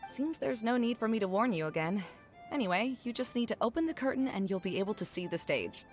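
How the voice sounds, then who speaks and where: A woman playing a composed, cautioning guide in a fantasy video game, speaking in a measured, explanatory way. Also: mid-range voice, animated, music in the background, lightly acted. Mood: matter-of-fact.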